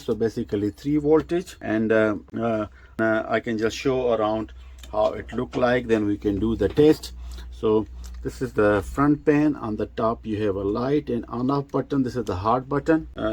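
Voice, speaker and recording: Halting man explaining vintage gear, non-native English. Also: medium pitch, darkish tone. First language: not English. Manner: halting, explanatory, with repeated words. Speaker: presenter of a vintage radio review. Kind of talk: unscripted product demonstration talk.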